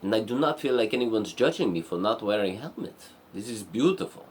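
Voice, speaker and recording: A man's voice: lowish voice, huge pitch swings, a little slow, a little hiss or hum. A man voicing a proud young princess with dramatic wonder in an improvised story. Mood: wonderstruck. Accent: put-on Spanish.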